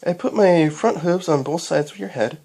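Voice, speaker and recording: A performer voicing a friendly, confident young female pony princess with a gentle, coaxing manner for a story. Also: medium pitch, normal speed, husky, voice pushed up. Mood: playful.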